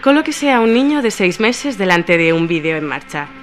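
A woman acting as a composed, formal lecturer in scripted radio theatre, with a measured, didactic manner. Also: medium pitch, very animated, slight background noise, natural voice. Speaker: radio drama narrator. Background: music.

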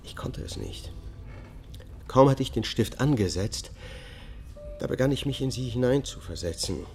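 An actor playing a weary accused man in a radio drama, confessing in a halting, controlled way. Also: medium pitch, expressive, darkish tone, soft background music, lightly acted. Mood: pained confession. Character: male accused family killer, a weary confessing double-life husband. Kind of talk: radio drama acting, first-person testimony.

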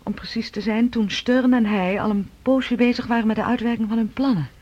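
An actress playing the earnest, formal adult daughter of a scientist in a radio drama, speaking persuasively. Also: female voice, on the high side, steady tone, a little fast, darkish tone, natural voice. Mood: earnest, defensive. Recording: dull, lo-fi radio recording.

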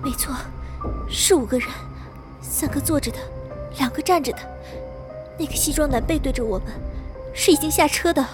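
A woman's voice: sits high, normal speed, pauses often, music in the background, close to an everyday voice. A woman acting an observant young subway passenger in an audio drama. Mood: tense, insistent.

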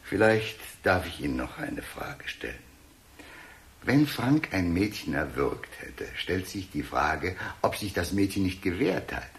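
An actor playing a composed, talkative police chief inspector in a German radio drama, speaking in a measured, wry, placating manner. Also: male voice, sits low, very animated, vocal fry, exaggerated voice. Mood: measured, patronizing.

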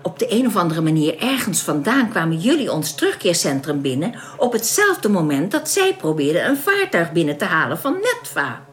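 A woman acting in a radio drama as a calm, welcoming young alien who explains things politely and with composure. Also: lowish voice, moderate pace, few pauses. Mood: earnest, explanatory.

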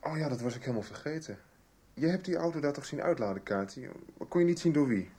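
An actor playing a brisk, bookish amateur sleuth in a 1990s Dutch radio soap. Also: male voice, a little high, animated, warm tone, breathy edge. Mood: pondering.

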